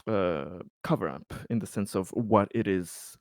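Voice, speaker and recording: Man playing a slow, guarded colony businessman. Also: deep voice, darkish tone, no room sound, clean studio sound. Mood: measured, guarded. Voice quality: halting, deliberate. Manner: measured, formal negotiator. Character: cautious, diplomatic male colony businessman with secret interests. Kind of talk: improvised tabletop roleplay.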